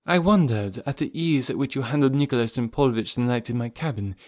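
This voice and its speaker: A man's voice: mid-range voice, a little fast, darkish tone, no echo, clean studio sound, lightly acted. A man voicing a frightened, earnest young noblewoman in an audiobook reading. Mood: tender.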